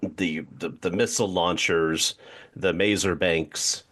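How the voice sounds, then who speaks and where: A man playing a tabletop role-playing game, improvising in casual chat and thinking aloud in a playful, halting way. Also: deep voice, fairly even intonation, a bit bright.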